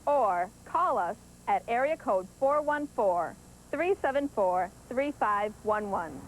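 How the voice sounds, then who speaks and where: A woman's voice: medium pitch, animated, slow, slight background noise, rough recording. An American woman reading a scripted recruitment promo as an announcer.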